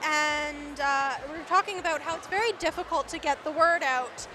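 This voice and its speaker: A woman's voice: sits high, normal speed, noisy background. A woman from Canada hosting a reptile vlog, chatting upbeat and enthusiastic with vendors at an expo while losing her voice. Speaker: reptile vlogger and host. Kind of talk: casual vlog interviewing. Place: indoor reptile expo hall.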